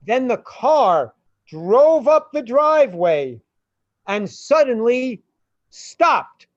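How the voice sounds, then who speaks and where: A man narrating a radio play in a dramatic storytelling style. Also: very high-pitched. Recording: dull, roomy amateur recording.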